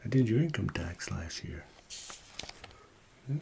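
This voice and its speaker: A man playing a shady, chatty small-time tax man in a soft-spoken ASMR roleplay, murmuring and rambling hesitantly. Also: on the low side, very animated, a little slow, gravelly, slight background noise, heavily acted. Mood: chatty, unhurried.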